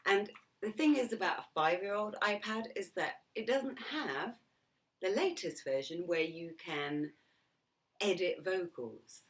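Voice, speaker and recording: Low-voiced middle-aged woman, whispered asides. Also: lively intonation, unhurried, recorded from a distance. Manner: chatty, laughs often, drops into whispered asides. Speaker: vlogger. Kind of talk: reflective casual monologue to camera.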